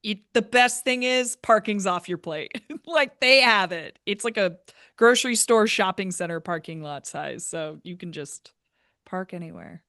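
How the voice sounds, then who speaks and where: A woman's voice: mid-range voice, lively intonation, a little slow, a bit bright, no room sound, clean studio sound. A woman chatting casually as an interview guest who produces live shows. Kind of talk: casual chat.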